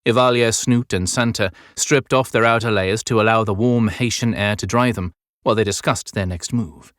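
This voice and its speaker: A man's voice: fairly low pitch, moderate pace, fairly bright tone, no room sound, clean studio sound. A man reading scripted, dramatic audiobook narration.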